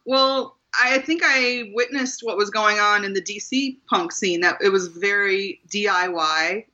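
A woman's voice: medium pitch, sharp tone, lo-fi sound. A woman in her forties from southern Maryland, talking thoughtfully as an interview guest with frequent ums and restarts. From southern Maryland, USA. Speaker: guest author. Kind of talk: interview conversation.

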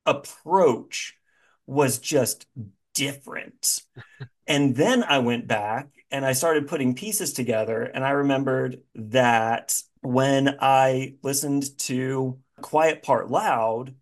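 A man's voice: mid-range voice, slow pace. A man hosting a podcast, chatting casually and effusively in an informal interview style. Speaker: podcast host.

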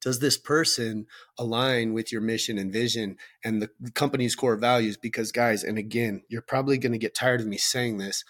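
A man with a loud voice co-hosting a podcast, talking in an emphatic, blunt way and swearing for effect. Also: medium pitch, fairly even intonation, moderate pace, hardly any pauses. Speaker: podcast co-host. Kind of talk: casual podcast discussion.

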